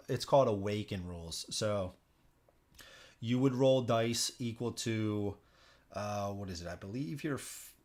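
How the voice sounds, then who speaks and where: A man hosting a solo board game stream, talking informally, thinking out loud and often repeating himself as he plays. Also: lowish voice. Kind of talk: casual live stream commentary while playing.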